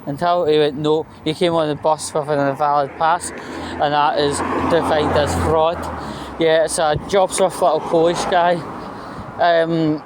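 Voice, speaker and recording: A Scottish man rambling through a casual anecdote in a halting, opinionated and aggrieved way. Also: fairly high pitch, flat delivery, noisy background. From Scotland. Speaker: vlogger.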